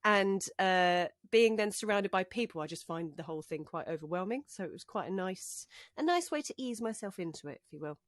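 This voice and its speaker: Woman, mid pitch, chatty, self-deprecating, condenser mic, dry home booth. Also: lively intonation, fairly quick, hardly any pauses, dry recording. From North London, England. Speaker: podcast guest, broadcaster. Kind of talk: casual podcast chat. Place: home wardrobe voice booth, door open. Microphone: studio condenser mic.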